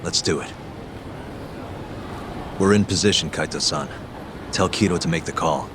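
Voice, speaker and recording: An actor playing a calm, determined male private detective in a video game, speaking tersely and matter-of-factly. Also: deep voice, normal speed, noisy background, natural voice. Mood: focused. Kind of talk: video game cutscene dialogue.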